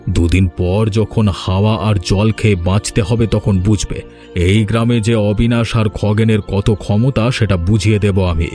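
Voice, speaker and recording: A man's voice: lowish voice, fairly even intonation, measured pace, dark tone, soft background music, lightly acted. A man playing a scheming, domineering older village landlord in a cartoon, with a commanding, condescending manner. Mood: menacing. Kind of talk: Bengali cartoon voice acting.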